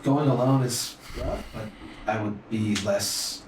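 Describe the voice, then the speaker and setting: A man plays a tabletop game, talking casually in a fairly low voice. The room is echoey and clearly noisy around him. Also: steady tone, slow pace.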